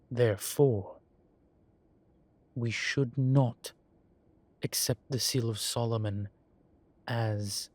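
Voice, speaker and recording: A man voicing an urbane demon professor in an audio roleplay, erudite and wryly amused, speaking in a measured, formal way with deliberate pauses. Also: medium pitch, a little mellow, no room sound. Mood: dry, lecturing. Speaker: professor lecturing a class. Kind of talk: scripted audio roleplay, lecture and conversation.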